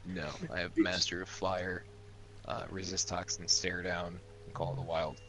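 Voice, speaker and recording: Deep, creaky man at the game table. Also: fairly even intonation, moderate pace, a bit bright, slight background noise. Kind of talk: casual game-table chat.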